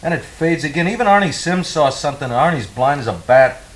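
A man's voice: on the high side, animated, fast talker, a little hiss or hum, lightly acted. A man playing a wry, gossipy small-town local in an audio drama, with a folksy, dry manner. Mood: wry, uneasy.

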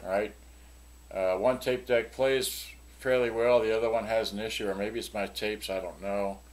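A man's voice: lowish voice, steady tone, moderate pace. An older man giving a rambling, offhand product demonstration in a casual way. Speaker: seller demonstrating used electronics. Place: small cluttered indoor workshop. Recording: clearly noisy room, clicks of handled switches.

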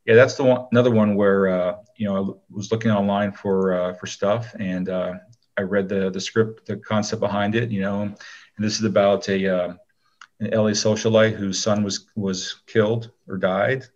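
Low-voiced man, casual interview guest. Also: steady tone, normal speed, a little mellow, highs cut off.

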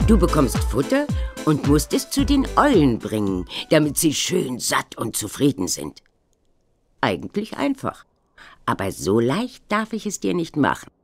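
A woman voicing a stern old witch examiner in a children's computer game, instructing in a deliberate, theatrical way. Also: low-pitched, wildly swooping pitch, breathy edge, faint music behind, exaggerated voice. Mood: instructive.

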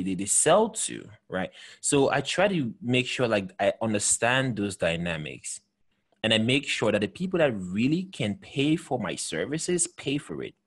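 A man's voice: lowish voice, breathy edge. A man in his thirties from Cameroon, hosting a video call chat with an earnest, emphatic manner and frequent restarts. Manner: earnest, emphatic, many restarts and repeated words. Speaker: host. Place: home room with bookshelves. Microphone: headset with desk microphone.

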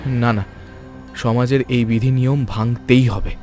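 A man plays a principled scholar and reformer in a cartoon story. He speaks at a middle pitch, earnest and measured, with firm resolve and kindness. Light music runs underneath. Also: fairly even intonation, warm tone, close to an everyday voice. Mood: troubled, resolute. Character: principled, kindly Bengali scholar and social reformer, adult man. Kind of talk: dramatic cartoon voice acting.

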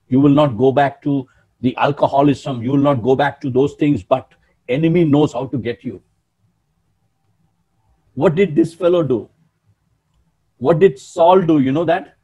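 A man in his sixties preaching a sermon in an emphatic, animated way, often calling out to his listeners, over a webcam in a small room. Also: mid-range voice, slightly rough, lo-fi sound. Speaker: pastor.

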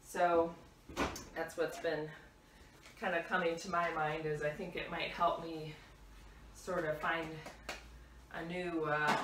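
Middle aged lady vlogging while she tidies, unscripted chat, echoey kitchen, mic kinda far off. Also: sits low. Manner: unscripted, talks while moving about doing chores. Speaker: home cleaning vlogger. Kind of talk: casual chat while tidying. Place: home kitchen, indoors. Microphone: camera a few meters away. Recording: roomy, distant mic, clattering dish noise. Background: dishes clattering, clicks.

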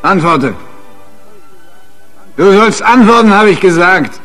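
A bullying husband in a radio play, highish voice, sneering n demanding, contemptuous. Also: male voice, expressive, slow, fairly bright tone, faint music behind, heavily acted. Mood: furious taunting. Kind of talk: German radio crime drama acting.

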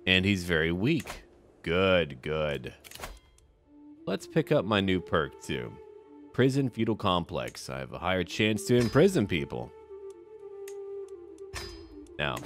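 A man giving roleplay commentary on a strategy game in short, measured phrases, as if murmuring to himself. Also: low-pitched. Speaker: gaming video host.

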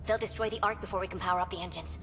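Actress as a quick-talking, determined alien woman officer. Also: mid-range voice, fairly even intonation, lightly acted. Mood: urgent, businesslike. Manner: businesslike. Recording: echoing hall, music underneath.

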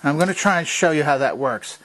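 A man's voice: on the high side, animated, fairly quick, fairly bright tone, poor audio. A man presenting a hands-on repair demonstration, explaining methodically and pointing things out step by step.

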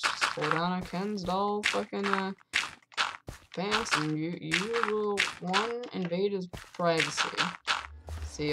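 A young woman with a fairly low voice, rambling absurd jokes while playing a game, with sudden loud outbursts. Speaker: gamer. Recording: loud background noise.

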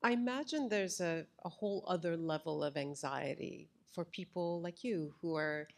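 A woman's voice: on the low side, moderate pace. A middle-aged woman conducting a conversational interview on stage. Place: indoor stage with wood-slat backdrop. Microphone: microphone on boom stand.

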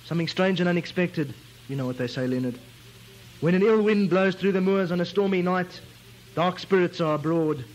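A man's voice: high-pitched, normal speed, a little mellow, lightly acted, poor audio. An actor playing a suspicious, portentous man from a lonely moorland house in a comic radio melodrama, declaiming ominously. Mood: uneasy, ominous.

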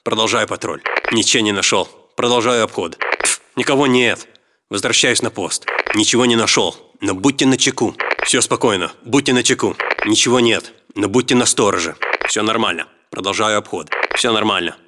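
An actor playing a wary, subdued Russian sentry on patrol in a video game. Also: male voice, on the low side, normal speed, pushed and bright. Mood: calm, subdued. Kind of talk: low patrol barks.

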